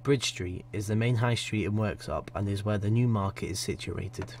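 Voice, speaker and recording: A man's voice: lowish voice, fairly even intonation, normal speed, slight background noise. A man reading a scripted voice-over as a reporter for a local newsreel. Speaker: news reporter narrating voice-over.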